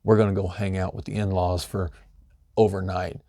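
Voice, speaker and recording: A middle-aged man, slightly hoarse, giving a loose, conversational how-to talk full of "you know" fillers. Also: sits low, little pitch movement, normal speed, dark tone, no echo, studio quality. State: slightly hoarse, losing his voice. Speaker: homesteading channel host. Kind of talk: casual instructional talk.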